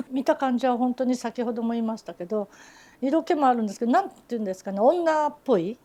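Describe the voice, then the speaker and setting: A woman's voice: on the high side, fast, dark tone. A woman who runs a teahouse and works as a geisha, telling fond stories in an interview with frequent laughter. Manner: chatty storyteller, fond, often laughing. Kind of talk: reminiscing interview chat.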